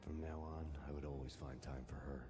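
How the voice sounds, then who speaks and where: An actor playing a world-weary hard-boiled cop who narrates his grim story in a deadpan, brooding, wry way. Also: extremely low pitch, a little fast, over music. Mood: regretful, somber. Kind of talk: noir monologue narration.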